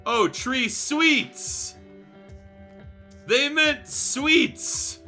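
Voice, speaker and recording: A man hosts a casual livestream reading with a very bright, forceful voice. His pitch swings widely as he throws in amused, sweary asides. Faint guitar music plays behind him. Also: very slow. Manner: animated, amused, profane. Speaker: streamer hosting a fanfiction reading.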